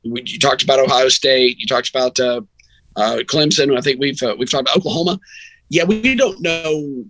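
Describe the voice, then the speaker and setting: A man's voice: medium pitch, moderate pace, a bit bright, no room sound. A man who works as a sports radio announcer, talking casually as a guest in a rambling, anecdotal way. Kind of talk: casual sports chat and storytelling.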